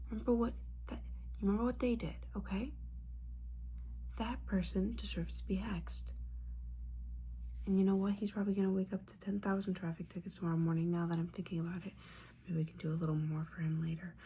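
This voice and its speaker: A woman playing an older witch mentor in a whispered ASMR roleplay, scolding and motherly, with wry, halting delivery and a breathy voice. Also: mid-range voice, dark tone, a little hiss or hum, close to an everyday voice. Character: disappointed older witch mentor, bossy, judgmental yet caring.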